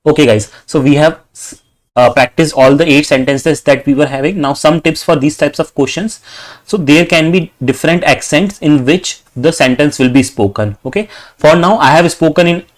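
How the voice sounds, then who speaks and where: A young man with an Indian English accent, tutoring for an exam. He dictates practice sentences slowly and fills his talk with "okay". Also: on the high side. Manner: repeats "okay" often, slow deliberate dictation of sentences.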